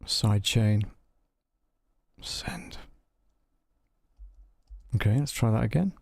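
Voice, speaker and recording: A man hosting a casual live audio software walkthrough, muttering to himself as he troubleshoots, with a gravelly, creaky voice. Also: mid-range voice, normal speed, dry recording. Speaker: livestream host demonstrating audio software.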